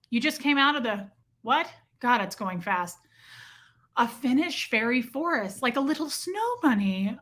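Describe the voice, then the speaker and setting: Woman livestream host, casual and chatty, slightly high bright voice. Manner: chatty, reacts to viewer comments. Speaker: livestream host reading chat. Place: home room with a heater.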